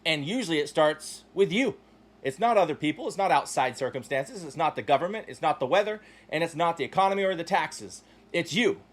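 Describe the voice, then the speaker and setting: A man in his forties hosting his own podcast, giving a blunt, profane motivational monologue that swings between loud emphatic bursts and low asides. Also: lively intonation, fairly quick. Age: 40s. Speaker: podcast host.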